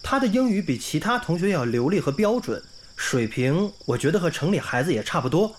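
A man's voice: fairly high pitch, sing-song, brisk, a little hiss or hum. An actor playing a kindly, earnest young male English teacher in a radio drama, with an encouraging manner. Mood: thoughtful. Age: young adult. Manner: clear, encouraging classroom delivery. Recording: music under the dialogue.

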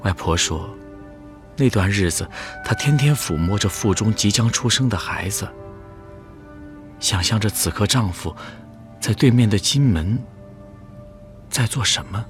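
A man's voice: on the low side, expressive, unhurried, long pauses. A man reading scripted narration for a radio drama. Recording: music under the voice.

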